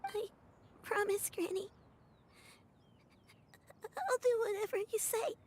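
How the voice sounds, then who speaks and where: A woman's voice: very high-pitched, animated, takes it slowly, a little mellow, full character voice. A woman voicing a small, devoted little girl with an earnest, plaintive, childlike manner in a video game. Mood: tearful, promising.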